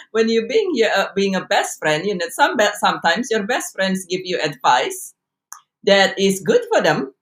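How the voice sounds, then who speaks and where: A woman's voice: on the low side, fairly bright tone. A woman from Indonesia with an Indonesian accent, giving earnest advice on a talk show with frequent restarts and light laughs. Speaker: co-host. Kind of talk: conversational talk show discussion.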